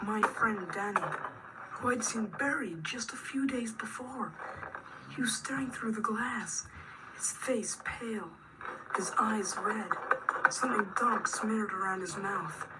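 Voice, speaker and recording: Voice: mid-range voice, unhurried, close to an everyday voice. A performer playing a frightened, earnest boy who narrates in a radio drama. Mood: hushed fear. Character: frightened, earnest American boy recalling horror. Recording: distant, noisy with ambience.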